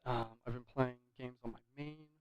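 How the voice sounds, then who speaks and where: A man's voice: medium pitch, steady tone, moderate pace, clear, resonant tone, dry recording, dull audio. A man giving casual, rambling game commentary in an offhand way as the host of a gaming video.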